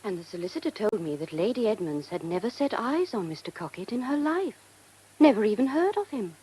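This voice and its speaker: An actress playing an animated, indignant woman in a British radio drama, speaking emphatically with a husky voice. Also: mid-range voice, a little fast, rough recording. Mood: incredulous, emphatic.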